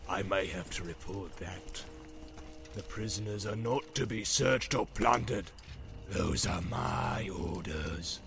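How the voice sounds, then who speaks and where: Sly evil orc schemer, soft sneering whisper, very low and gravelly, as a male reader puts him on. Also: slow, exaggerated voice. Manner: sneering, menacing. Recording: loud background hiss.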